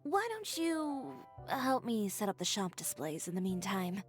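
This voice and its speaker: A woman's voice: mid-range voice, sing-song, a little slow, soft background music. A woman voicing a poised, confident young fashion designer in a video game, composed and articulate. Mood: brisk, businesslike.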